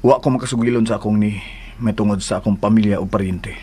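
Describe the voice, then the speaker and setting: An actor playing a soothing, apologetic young husband in a radio drama, placating and a little hesitant. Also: male voice, on the low side, fairly even intonation, quick pace, dark tone, breathy edge.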